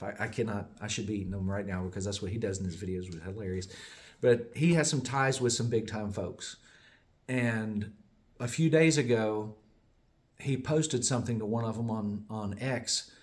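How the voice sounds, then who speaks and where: A man's voice: fairly low pitch, normal speed. A man from the United States, a small business owner, giving a casual, digressive update that often corrects itself and chuckles along the way. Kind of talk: casual rambling monologue.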